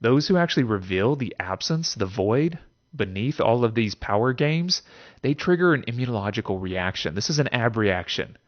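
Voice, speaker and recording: A man's voice: medium pitch, expressive, normal speed. A man hosting an explanatory philosophy monologue in a conversational way, with theatrical emphasis and rhetorical check-in questions. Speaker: host of a philosophy talk series. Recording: dull lo-fi sound. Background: music.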